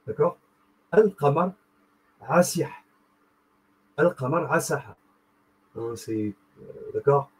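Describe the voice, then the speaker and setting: A young man with a husky voice, talking casually and excitedly to his viewers in a rambling, slangy way. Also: fairly high pitch, rough recording. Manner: rambling, animated, slangy, addresses viewer directly. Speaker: video host. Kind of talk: casual explanatory monologue.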